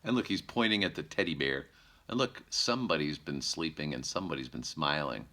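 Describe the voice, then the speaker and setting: Male storytime reader: low, forceful, lively, playful, speaking straight to children. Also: a little fast. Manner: playful, addresses young listeners directly. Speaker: news anchor reading a children's story. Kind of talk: animated storytime read-aloud with asides to children.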